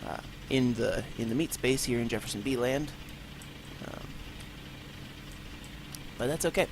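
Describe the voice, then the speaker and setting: A man's voice: medium pitch, unhurried, gravelly, background noise. A man hosting a gaming channel, chatting casually in let's play commentary with a rambling, self-mocking manner, a bit congested from allergies, in an unpaneled room. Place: room with no acoustic paneling.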